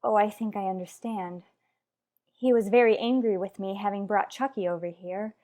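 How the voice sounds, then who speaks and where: A woman's voice: mid-range voice, moderate pace, mellow, natural voice. A woman playing an anxious, protective mother in an old-time radio soap, earnest and troubled, questioning as she goes. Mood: hurt, earnest. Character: anxious, wounded mother protective of her young son.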